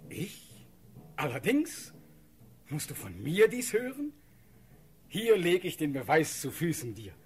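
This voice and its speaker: Ardent, very husky young prince. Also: male voice, fairly high pitch, sing-song, takes it slowly, pauses often, full character voice. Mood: proud. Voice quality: very husky, breathy. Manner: impassioned, dramatic verse delivery. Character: ardent, impetuous young male prince and cavalry officer. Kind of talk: German classical radio drama.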